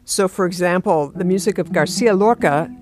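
A woman guitarist being interviewed, answering in an articulate, thoughtful and measured way. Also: on the low side. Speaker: classical guitarist, interview guest. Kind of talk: interview conversation.

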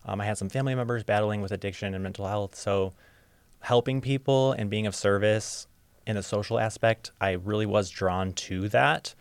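Young man, from Ohio, podcast guest, reflective, slightly halting, low voice, dry close sound. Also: gravelly, clean tone, no room sound. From Ohio, USA. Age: young adult. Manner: open, reflective, a little halting. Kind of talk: casual interview storytelling.

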